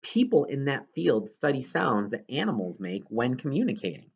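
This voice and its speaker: A man's voice: mid-range voice, very animated, moderate pace, gravelly, breathy edge, dull audio. A man reading a children's novel aloud as scripted narration, now and then stumbling over a word. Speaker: audiobook reader.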